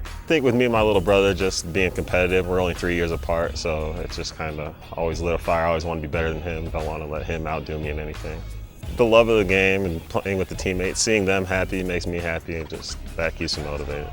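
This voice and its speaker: A young American man, a college basketball player, talking casually about himself in a profile interview. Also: low voice. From Utah and Texas, United States. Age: young adult.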